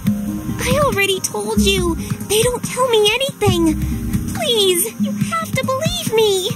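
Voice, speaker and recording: A woman's voice: extremely high pitch, animated, slow, full character voice. A woman voicing a small, anxious, eager fairy guide in a video game, with a whiny, emphatic, flustered way of talking. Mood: flustered, indignant. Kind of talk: English video game voice acting. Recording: music behind the voice. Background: game music.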